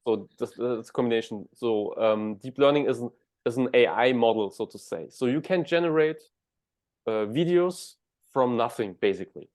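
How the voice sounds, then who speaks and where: A man's voice: medium pitch, normal speed, a little mellow. A man from Germany with a German accent, a guest in an interview, explaining things step by step and often restarting words.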